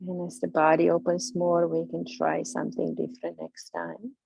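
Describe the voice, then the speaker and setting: A woman guiding a yoga class with slow, soothing, drawn-out cues. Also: on the low side, completely flat delivery, gravelly, a little mellow, breathy edge, no room sound, low-quality recording. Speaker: yoga instructor. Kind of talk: guided yoga class instruction.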